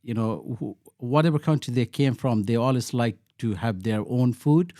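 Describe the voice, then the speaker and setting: A man from Bangladesh, a local councillor, answering interview questions in a halting way with many fillers and restarts. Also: medium pitch, mellow, dry recording, studio quality.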